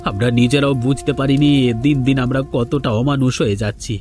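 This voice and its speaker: A man's voice: mid-range voice, a little fast, a little mellow, soft background music, natural voice. A man voicing a needy, plaintive village thief and husband in a cartoon drama. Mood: remorseful. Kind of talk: Bengali cartoon voice acting.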